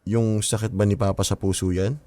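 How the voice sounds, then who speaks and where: An actor playing an anxious, questioning nineteen-year-old son and student. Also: male voice, lowish voice, flat delivery, brisk, warm tone, no echo, close to an everyday voice. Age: teens. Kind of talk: radio drama acting.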